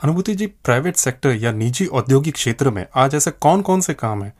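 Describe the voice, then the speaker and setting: Male interviewer, medium pitch. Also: animated, a little fast, faint music behind. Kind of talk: formal prepared interview questions.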